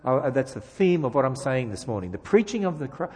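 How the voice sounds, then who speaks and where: A man with an Australian accent preaches as a guest speaker, mixing light jokes with emphatic rhetorical questions. His voice sits at a middle pitch. It carries through a church with a long, trailing reverb. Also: sing-song, a little fast, a little mellow, breathy edge. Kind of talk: sermon. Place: church sanctuary.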